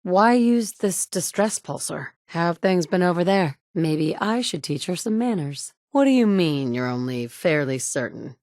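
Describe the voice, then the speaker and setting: A woman's voice: on the low side, expressive, unhurried, few pauses, a little mellow, dry recording. A woman playing a determined mother turned hardened wasteland survivor, speaking firm, direct lines of game dialogue. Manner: firm, direct, dry and commanding. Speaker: player character voice. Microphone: studio mic, close.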